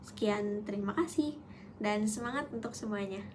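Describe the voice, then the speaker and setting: A young woman in her late teens giving a prepared student testimonial in formal, measured phrases. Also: mid-range voice, darkish tone. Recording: clear background noise.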